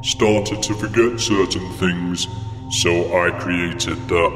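A man's voice: deep voice, steady tone, slow, a bit bright. A man playing a pompous, self-important godlike creator in a comedy radio play. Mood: matter-of-fact. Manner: pompous, grandiose, hesitating. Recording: long reverb, music behind.

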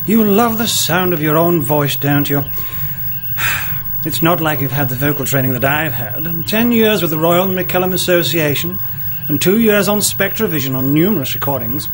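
A man's voice: on the high side, animated, moderate pace, faint music behind, close to an everyday voice. A man playing a vain, sarcastic executive officer in a comedy radio play, withering and self-important. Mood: irritated, snooty.

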